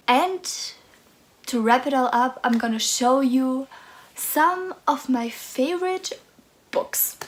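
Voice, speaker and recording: A woman in her twenties from Austria, with a German accent, talking nervously and hesitantly in a casual book vlog. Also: high-pitched. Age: 20s. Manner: nervous, hesitant, soft-spoken. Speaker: book vlogger.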